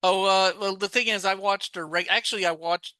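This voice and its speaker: A man with a bright, ringing tone and a smooth, clear voice chats on a podcast. He talks on and on, restarting sentences as he goes. The sound is close and dry. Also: high-pitched, steady tone, fairly quick, dry recording. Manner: talkative, restarts sentences often. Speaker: podcast co-host. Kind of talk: casual chat.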